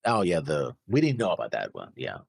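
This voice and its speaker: A man co-hosting a reaction show, chatting casually in a halting, rambling way. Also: very deep voice, huge pitch swings, creaky, husky, no echo, clean studio sound. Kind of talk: casual chat.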